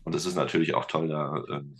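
A man, a teacher and guitarist, talking as a podcast guest in a relaxed, hesitant way, with many fillers. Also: extremely low pitch, fairly quick. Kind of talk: casual interview talk.

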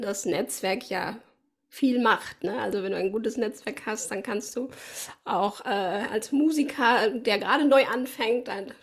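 A woman with a fairly high, breathy voice hosts a podcast. She speaks slowly and warmly encouraging, asking questions in a casual chat. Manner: encouraging, laughs often and affirms. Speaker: podcast host. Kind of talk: casual interview talk.

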